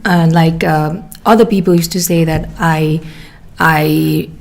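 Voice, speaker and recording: A young woman with a Nepali accent and a husky voice, a doctor and guest on a podcast, speaking about herself in a reflective, halting way. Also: low-pitched, fairly even intonation, darkish tone. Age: young adult. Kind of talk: candid personal conversation.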